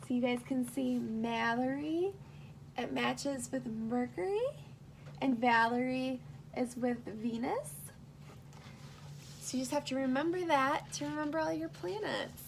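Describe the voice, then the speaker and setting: A woman reading a rhyming picture book aloud to young children, pausing to explain and put simple questions to her listeners. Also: sits high, creaky, slight background noise, rough recording.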